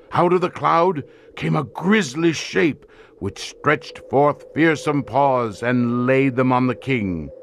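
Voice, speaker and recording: Slow, grave desert tribesman storyteller. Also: mid-range voice, slight background noise. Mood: dramatic, intense. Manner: archaic, portentous, oratorical. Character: grave desert tribesman elder, solemnly warning of an ancient curse. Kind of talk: theatrical audio drama storytelling.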